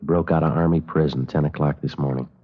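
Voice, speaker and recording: An actor playing a terse, level-headed police detective, narrating and talking in a clipped deadpan for a 1950s radio crime drama. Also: male voice, very deep voice, a little fast. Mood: deadpan, businesslike. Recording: dull vintage 1950s radio recording, music.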